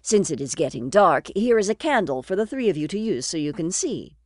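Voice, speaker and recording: A woman plays a stern, scheming fairy tale stepmother. Her voice is fairly low and close, and she hands out orders deliberately, audio drama style. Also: big pitch swings, darkish tone, dry recording. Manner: deliberate, commanding.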